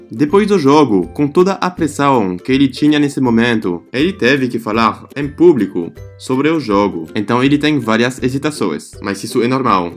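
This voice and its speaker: A young French man, a native French speaker with a French accent, giving a calm language lesson and explaining each line. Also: medium pitch. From France. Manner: calm, explanatory, repeats lines in translation. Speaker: French teacher.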